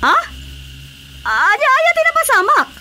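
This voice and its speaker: Bossy female village captain for radio comedy, Ilocano accent, high, bright voice and big dramatic swings. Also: huge pitch swings, moderate pace, a little hiss or hum, exaggerated voice. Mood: concerned. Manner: dramatic, exclaiming, scolding.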